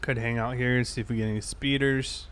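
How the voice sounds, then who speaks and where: A man chatting casually and thinking aloud in a halting way as he livestreams a police roleplay game. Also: mid-range voice, steady tone, a bit bright, clear, resonant tone.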